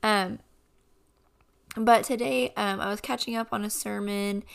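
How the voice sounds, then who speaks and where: A woman hosting a casual devotional podcast, her voice a bit raspy as she gets over a cold, speaking in an earnest, reflective way. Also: medium pitch, fairly even intonation, measured pace. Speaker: podcast host.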